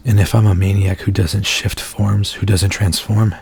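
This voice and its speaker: A man acting an anguished, pleading werewolf in human form for an ASMR roleplay, speaking in an intimate, halting, confessional way with a gravelly voice. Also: lowish voice, flat delivery, darkish tone, exaggerated voice. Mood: urgent, pleading.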